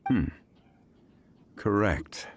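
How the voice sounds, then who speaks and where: A man playing a composed, scholarly investigator in a video game, speaking formally and deliberately as he reasons. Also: a little hiss or hum. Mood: pondering. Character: composed, scholarly gentleman investigator, analytical and measured. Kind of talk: video game character acting.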